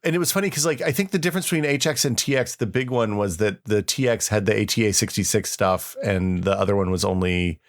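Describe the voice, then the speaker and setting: Creaky low-voiced man chatting casually. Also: quick pace, hardly any pauses. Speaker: podcast co-host. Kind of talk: casual chat with dramatic readings of old forum posts.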